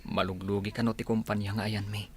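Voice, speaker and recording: Lowish-voiced young suitor, man acting. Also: level tone, fairly quick, a little mellow, lightly acted. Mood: worried. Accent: Filipino, Ilocano. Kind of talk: radio drama acting. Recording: faint background noise.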